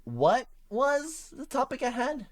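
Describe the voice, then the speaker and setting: A reader playing an easygoing young male gamer, relaxed, casual. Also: sits high, takes it slowly, voice pushed up, exaggerated voice.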